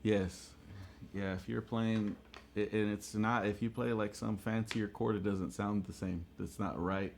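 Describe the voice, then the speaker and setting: A man's voice: on the low side, fairly even intonation, a little slow, vocal fry, a little hiss or hum. A man giving a casual, colloquial guitar lesson as a guest player, saying y'all as he explains and demonstrates. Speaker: guest guitarist teaching licks. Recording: loud guitar playing alongside the voice. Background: electric or acoustic guitar playing.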